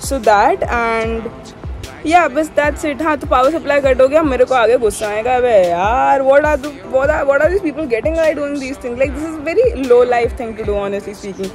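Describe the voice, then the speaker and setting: Young woman in her early twenties, slightly high voice, unhurried rambling vlog talk, music playing behind. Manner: rambling, opinionated, code-switching, often says "yaar" and "theek hai". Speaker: vlogger. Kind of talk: casual talking-head vlog.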